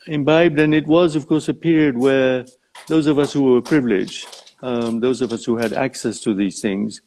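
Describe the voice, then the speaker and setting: An older man from Uganda talks as a guest in a live video chat. He speaks in a reflective, measured way, often pausing and rephrasing. His pitch sits in an average male range. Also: warm tone, low-quality recording. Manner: halting, thoughtful, measured phrasing. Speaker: interview guest, safari company founder.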